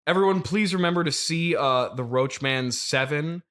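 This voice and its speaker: A man's voice: a little high, moderate pace, fairly bright tone. A man hosting an improvised comedy interview, agreeing straight-faced and echoing what his guest says. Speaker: interviewer, podcast host.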